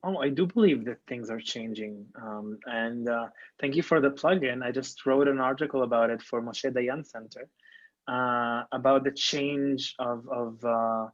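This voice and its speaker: A man's voice: mid-range voice, a little slow, dry recording. A man in his twenties from Lebanon, a podcast guest, speaking with frequent ums and restarts and some non-native grammar. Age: 20s. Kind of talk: casual interview talk. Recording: dull, dry lo-fi sound.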